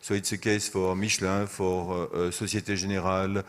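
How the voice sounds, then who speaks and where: Male business executive, lower register, hesitant unscripted panel remarks with frequent gaps. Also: level tone. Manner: hesitant, halting delivery with long pauses. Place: business conference.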